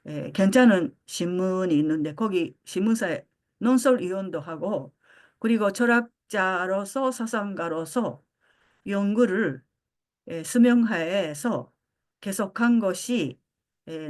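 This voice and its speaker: An older woman born in Japan giving a philosophy lecture, pausing often with fillers as she searches for words. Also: fairly low pitch, a little mellow. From Japan (Osaka). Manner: frequent hesitation fillers, halting.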